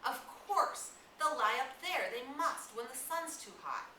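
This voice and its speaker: A woman reading the part of a fierce, obsessive young boy hunter in a storybook, insistent and halting. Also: sits high, lively intonation, sharp tone, voice pushed up, heavily acted. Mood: excited, heated. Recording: roomy, distant mic, clear hiss.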